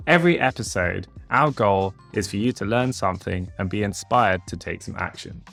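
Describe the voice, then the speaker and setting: Male podcast host, friendly, halting speech, casual interview. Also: lowish voice, gravelly, a bit bright, soft background music. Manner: friendly, hesitant with fillers and restarts.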